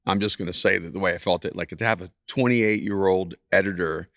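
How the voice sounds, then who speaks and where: A man in his sixties, an author talking on a podcast, speaking in a measured, reflective way. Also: low voice, steady tone, fairly bright tone, no echo, studio quality. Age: 60s. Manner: measured, reflective, laughs easily. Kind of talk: casual podcast chat.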